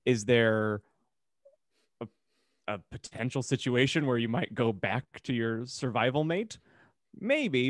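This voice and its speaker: Guy in his 30s, medium pitch, thoughtful casual podcast chat, no room echo. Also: studio quality. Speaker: podcast co-host. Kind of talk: casual conversation. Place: home room, indoors.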